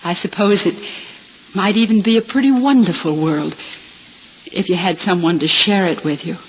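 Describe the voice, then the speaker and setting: An actress as a vulnerable young blind woman in an old radio play. She speaks earnestly and haltingly, full of fragile hope. The 1950s recording is dull, with a faint hiss. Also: on the low side, close to an everyday voice. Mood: hesitant, hopeful. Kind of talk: 1950s radio drama acting.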